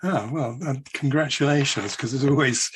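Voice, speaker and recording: A man co-hosting a podcast, asking friendly, halting questions with fillers. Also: mid-range voice, a little hiss or hum. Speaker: podcast co-host. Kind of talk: casual interview questions.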